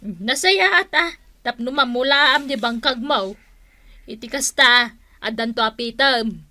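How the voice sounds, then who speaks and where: A fussing, sharp-tongued villager in a radio drama. Her voice is high, bright and forceful, recorded close and dry. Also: a little slow, dry recording. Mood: chatty.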